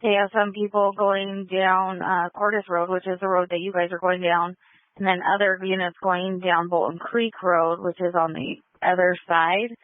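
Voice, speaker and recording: A woman's voice: on the low side, level tone, moderate pace, no room sound. Female dispatcher, procedural and confirming. Tinny, narrow-band scanner radio sound, very dry. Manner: procedural, repeats details back. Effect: two-way radio. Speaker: emergency dispatcher.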